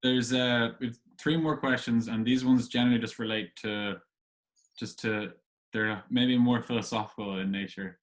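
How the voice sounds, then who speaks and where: A man with a medium-pitched voice hosts a casual podcast conversation. He puts his questions in a loose, hesitant way and readily agrees with his guest. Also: steady tone, normal speed. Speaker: podcast host, interviewer.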